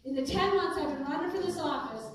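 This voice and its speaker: Woman candidate fielding town-hall questions, higher pitch, earnest and firm; distant mic, roomy echo. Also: fast. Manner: earnest, conversational, emphatic when defending herself. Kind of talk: answering audience questions at a political town hall. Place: community town hall meeting room. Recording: echoey hall, voice from far off, noisy.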